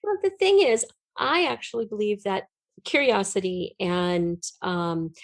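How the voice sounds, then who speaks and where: A middle-aged woman giving an earnest, emphatic and expressive explanation as a guest in a conversational interview. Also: medium pitch, a little slow, no echo. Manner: earnest, emphatic, expressive storytelling with illustrative metaphors. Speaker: podcast guest, writer.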